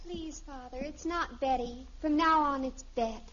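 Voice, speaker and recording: An actress playing a melodramatic, lovestruck American teenage daughter with affected sophistication in a 1950s radio sitcom. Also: female voice, high voice, measured pace, a bit bright, a little hiss or hum. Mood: dreamy, affected. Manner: pompous, theatrical, dreamy. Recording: dull vintage radio recording.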